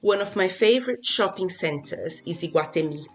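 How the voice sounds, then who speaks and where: A woman's voice: lowish voice, animated, normal speed, soft background music. A woman from Brazil, a native Portuguese speaker, reading her part as a guest in a scripted podcast conversation.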